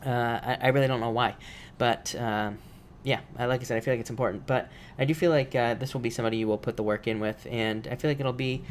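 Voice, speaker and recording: A man with a voice made rough by allergies, reading tarot cards on a live stream in a casual, repetitive way with many fillers. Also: mid-range voice, steady tone, fast talker, hardly any pauses, slight background noise. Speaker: tarot reader.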